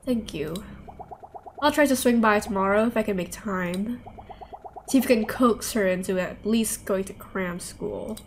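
A woman voicing a stern, middle-aged mother in a visual novel, measured and reserved. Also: medium pitch, lightly acted. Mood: reserved, concerned. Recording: clear background noise.

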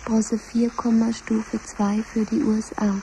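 An actress playing the official computer voice of a command centre in a 1970s radio drama, formal, measured and ceremonious. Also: female voice, on the high side, completely flat delivery, creaky, mellow, soft background music, rough recording. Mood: solemn, official.

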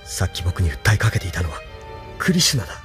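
An actor playing an earnest, intense young rebel hero in a Japanese audio drama, speaking with urgent, questioning drama. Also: male voice, sits low, sing-song, fast talker. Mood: tense realisation. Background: music.

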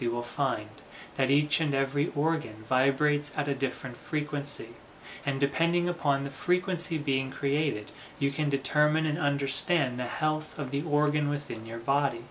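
Man, mid-pitched voice, meditation teacher, solemn and measured delivery, deliberate phrasing, some background noise. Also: fairly bright tone, rough recording. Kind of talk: guided meditation teaching, spiritual discourse.

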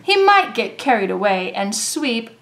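A woman reading a children's story aloud in an expressive, deliberate way, drawing out words for young listeners. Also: a little high. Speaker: storybook reader.

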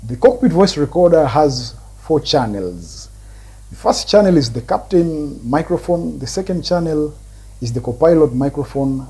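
A man in his thirties presenting a technical tutorial, explaining step by step in deliberate, short phrases with a husky voice. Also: mid-range voice, warm tone. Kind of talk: explanatory lecture.

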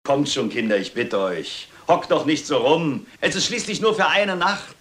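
A man's voice: a little high, sing-song, moderate pace, a bit bright. An actor playing an exasperated ship's officer chivvying his staff in a radio play. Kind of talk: German radio-play acting.

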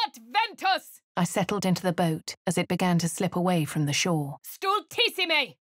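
An actress with a posh English accent voicing a composed young female adventurer in a game, crisp and clipped. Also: mid-range voice, no echo, clean studio sound. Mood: incanting. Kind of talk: game voice lines and narration.

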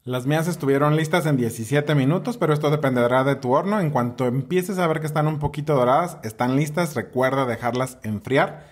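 A man's voice: on the high side, a little fast, few pauses. A man in his thirties with a Mexican accent, narrating a recipe casually in a chatty, informal way. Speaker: cooking video host.

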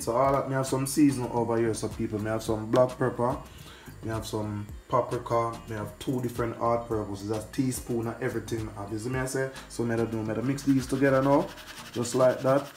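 A man hosting a casual cooking vlog, talking loosely and repeating his catchphrases as he works. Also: mid-range voice. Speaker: cooking channel host. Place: shop and street outdoors, then home kitchen. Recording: echoey with loud music behind.

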